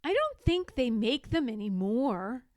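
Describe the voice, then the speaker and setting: Woman playing sly young girl, boastful, knowing, persuasive, swooping pitch, storybook friend, dry recording. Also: very animated, unhurried, no room sound. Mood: sly, discouraging. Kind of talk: children's storybook read-aloud.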